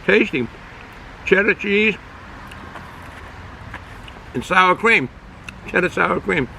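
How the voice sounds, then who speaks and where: A middle-aged man with a Boston accent, casually reviewing a beer outdoors, rambling and halting with many fillers. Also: high voice, animated, vocal fry, bright, forceful tone, slight background noise, low-quality recording. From Boston area, United States. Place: outdoors, sun in his eyes.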